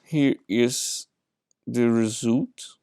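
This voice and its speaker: A man in his thirties from Brazil with a Brazilian Portuguese accent, presenting a software demo in a halting way with many fillers and non-native grammar. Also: medium pitch, fairly even intonation, smooth, dry recording, clean studio sound. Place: indoors at a desk with a laptop.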